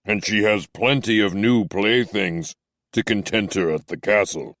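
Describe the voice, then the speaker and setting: A man reading an audiobook voices a stern but kindly captain who speaks slowly and gently admonishes. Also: lowish voice, no room sound, clean studio sound. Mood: measured, stern. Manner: slow, measured, gently admonishing. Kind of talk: audiobook character voice.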